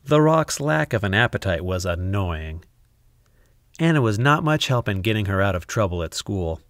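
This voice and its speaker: Male children's narrator, lowish creaky voice, expressive storytelling with deliberate dramatic pauses. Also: dry recording, studio quality. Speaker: storybook reader.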